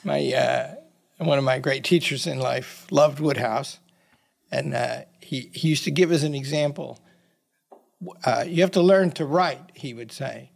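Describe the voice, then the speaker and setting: A man's voice: mid-range voice, unhurried, creaky. A man hosting an interview, asking questions in a friendly, conversational way with hesitant ums. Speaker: interview host.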